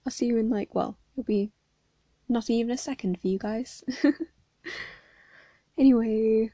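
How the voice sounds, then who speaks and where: A young woman, hoarse from hay fever, reading a story aloud and chatting casually between chapters. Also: a little high, unhurried, dark tone, no echo. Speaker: fan fiction reader.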